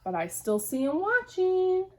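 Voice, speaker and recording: A woman teacher reading a storybook aloud to young children in an animated way, pausing to ask them questions. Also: extremely high pitch.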